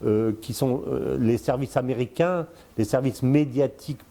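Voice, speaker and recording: An older man in his sixties gives his commentary at length, speaking straight to the listener. His voice is mellow, and his flow keeps breaking on fillers and repeated words before he picks the thread back up. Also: mid-range voice, moderate pace, gravelly. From born in Congo, lived in Africa, Spain, Brazil. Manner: explains at length, addresses the listener directly. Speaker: strategic affairs commentator, guest. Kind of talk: casual spoken commentary.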